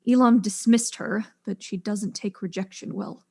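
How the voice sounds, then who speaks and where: A woman playing a proud, composed widowed scientist in a mystery drama, speaking in a measured, earnest way. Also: mid-range voice, fairly even intonation, vocal fry, warm tone, slightly rough. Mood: accusing. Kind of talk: acted mystery audio drama.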